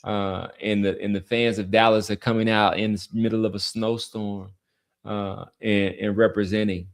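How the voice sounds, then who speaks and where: A man's voice: fairly low pitch, flat delivery, moderate pace. A man talking sports casually as a podcast panelist, argumentative and often repeating his points.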